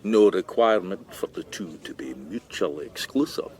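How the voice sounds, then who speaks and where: An actor playing a slyly menacing, mock-courteous criminal in a radio drama, with unctuous drawling politeness. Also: male voice, sits low, lively intonation, moderate pace, a little mellow. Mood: smug, sly.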